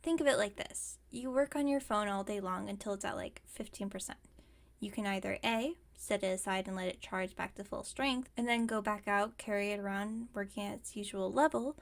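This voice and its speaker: A woman voicing a caring, wry young werewolf roommate in a casual, teasing, gently bossy ASMR roleplay monologue. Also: medium pitch, a little fast, vocal fry, lightly acted. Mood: gently reassuring.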